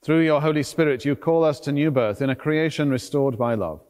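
A middle-aged man, a priest, reciting solemn liturgical prayer in measured, deliberate phrases inside a large church. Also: on the high side, darkish tone. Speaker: priest, celebrant at a church service. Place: large stone cathedral, at the altar. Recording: long reverb of a large church.

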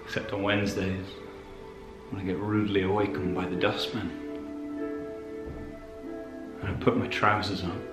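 An actor with a British accent playing an awkward, earnest man with a hesitant deadpan manner in screen comedy. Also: low-pitched, a little fast, loud music behind the voice, natural voice, poor audio.

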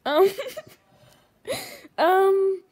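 Woman host of a homemade puppet cooking show: high, unhurried, hesitant delivery, recorded close on a handheld camera at the kitchen table. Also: fairly even intonation, darkish tone. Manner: hesitant, halting, lots of ums. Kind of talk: improvised puppet comedy.

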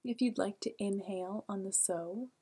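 A woman leading a guided meditation in a calm, gentle, soothing way, with a soft, breathy voice and long pauses. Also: mid-range voice.

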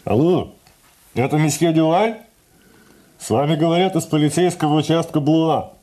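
Man acting a higher-voiced, mellow police caller. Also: moderate pace, natural voice. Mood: businesslike. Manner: formal. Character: official police caller, businesslike and courteous. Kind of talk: radio drama acting.

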